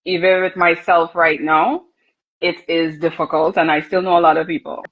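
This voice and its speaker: A woman soca artist guest explaining her view in a measured, casual way. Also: on the low side, level tone, highs cut off. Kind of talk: casual interview chat.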